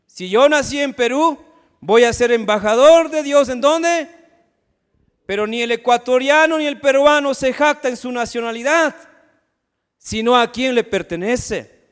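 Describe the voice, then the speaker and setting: High-voiced preacher in an echoing church. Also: male voice, unhurried, clear, resonant tone. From Ecuador. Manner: preaching with rhetorical questions and acted asides. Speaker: pastor. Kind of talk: sermon. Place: church sanctuary. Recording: long hall reverb.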